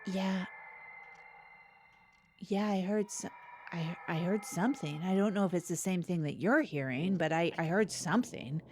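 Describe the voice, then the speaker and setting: Small-town public-access co-host, played by a woman: lowish voice, earnest, literal, chatty and halting. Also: a little mellow, a little hiss or hum, lightly acted. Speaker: co-host of a comedy news podcast. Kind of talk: improvised mock local public-access news chat.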